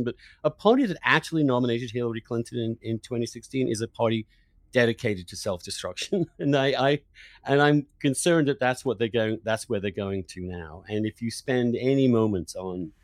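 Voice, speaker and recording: A man's voice: mid-range voice, no echo. A man hosting an opinionated political conversation as an interviewer, speaking candidly and emphatically, repeating words as he makes his points. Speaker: podcast host interviewing an author.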